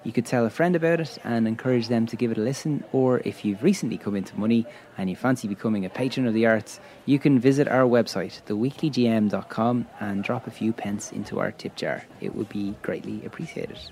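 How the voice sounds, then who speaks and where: A man's voice: lowish voice, brisk, few pauses, a little mellow. A man hosting a live podcast, running the Q&A with wry, friendly patter. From Ireland. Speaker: podcast host. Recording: long hall reverb.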